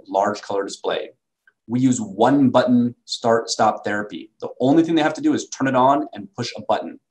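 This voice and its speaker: A man's voice: mid-range voice, darkish tone, poor audio. A man presenting for a medical device company in a sales talk over slides, recorded on a video call indoors.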